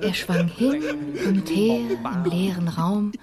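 Eine Schauspielerin erzählt als Sprecherin eines Hörspiels ein komisches Märchen nach Manuskript. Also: female voice, mid-range voice, takes it slowly, loud music behind the voice, lightly acted. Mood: narrating. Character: female storyteller of a comic fairy tale. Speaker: narrator. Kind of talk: scripted radio play narration. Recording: clear background noise with clicks.

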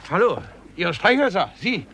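A man's voice: sits high, very animated, normal speed, pushed and bright, exaggerated voice. An actor playing a polite man out in the fog in a 1960s radio crime drama. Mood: startled, polite. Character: male passer-by telling of a foggy night encounter. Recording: noisy vintage radio drama recording.

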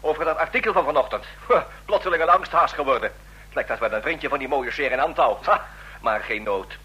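A gloating newspaper man in an old radio play. His voice is bright, raspy and lively, mocking and smug. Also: on the high side, fairly quick, lo-fi sound, exaggerated voice. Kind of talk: radio drama acting.

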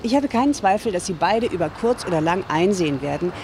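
An actor playing a smug, persistent buyer with a formal manner in a naturalistic radio drama. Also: male voice, high-pitched, expressive, fast, noisy background. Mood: confident, insistent. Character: smug, insistent male buyer pressing for a sale.

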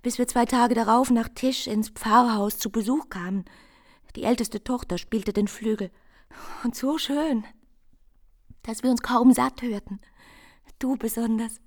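An actress playing a tender, anxious young bride in a literary radio drama, speaking intimately and often in a breathy hush. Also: female voice, on the high side, steady tone, a little slow, pauses often. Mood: earnest recollection.

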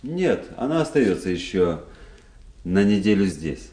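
A man's voice: low voice, sing-song, unhurried, mellow, husky, exaggerated voice. An actor playing a cool, proud young nobleman officer in a Russian radio drama, speaking with composed, self-assured irony. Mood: dry irony.

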